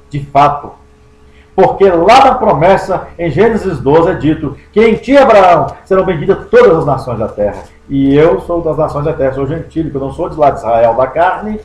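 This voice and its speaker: A man's voice: a little high, a little mellow. Man preaching in an echoey room. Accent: Brazilian Portuguese. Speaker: pastor. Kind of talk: Bible study sermon.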